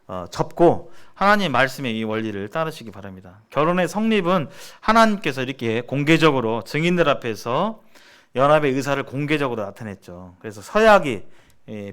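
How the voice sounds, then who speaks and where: A middle-aged man from South Korea teaching in a sermon-like seminar style, explaining haltingly and restating his phrases as he goes. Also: a little high, fairly bright tone, clear, resonant tone. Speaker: church seminar preacher.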